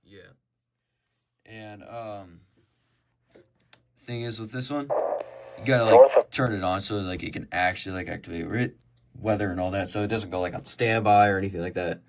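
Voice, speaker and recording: Young American male hobbyist vlogger, lowish voice, halting and self-correcting, clearly noisy home recording. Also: fairly even intonation. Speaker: hobbyist showing a radio collection. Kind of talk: casual show-and-tell vlog. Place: indoors at home by a desk.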